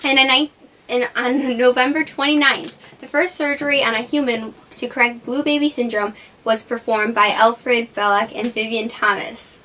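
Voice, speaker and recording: Bright, high-voiced woman reading news. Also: steady tone, moderate pace, slight background noise. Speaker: mock weather and news reporter. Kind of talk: reading a school news report.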